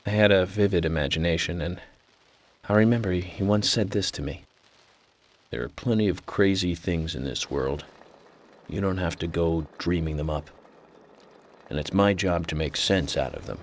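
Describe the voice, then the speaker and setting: An American man playing an eccentric, introspective federal agent in a video game, with a measured, earnest, confiding manner. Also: deep voice, a little hiss or hum, lightly acted. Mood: reflective. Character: eccentric, introspective male FBI agent with an imaginary friend. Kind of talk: video game cutscene dialogue.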